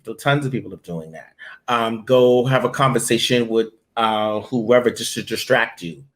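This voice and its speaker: A man's voice: medium pitch, normal speed, fairly bright tone. A man, a voiceover director and coach, giving blunt tough-love advice as an interview guest.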